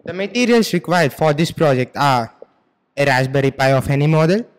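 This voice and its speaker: Indian teenage boy, slightly high voice. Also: expressive, fairly quick. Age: teens, about 15. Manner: formal. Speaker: student presenter. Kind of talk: rehearsed school project presentation. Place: school computer lab, indoors. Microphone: handheld microphone.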